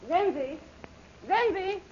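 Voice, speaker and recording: An actress playing a cold, taunting villainess who orders henchmen about in an old radio serial, imperious and mocking. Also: female voice, very high voice, expressive, slow, a little mellow, exaggerated voice. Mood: commanding. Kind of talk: 1930s radio serial acting. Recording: noisy, muffled old radio recording.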